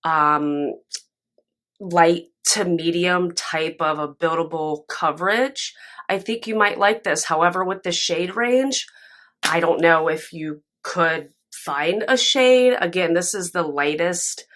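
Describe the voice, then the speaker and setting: Young woman doing a makeup review at home, raspy stuffy voice, kinda low pitch but bright, chatty. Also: slow. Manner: chatty, candid and expressive, with frequent fillers. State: raspy and stuffy after a nap. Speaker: beauty vlogger. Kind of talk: casual makeup review to camera. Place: home room with candle and plants, indoors. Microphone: camera in front, sometimes very close.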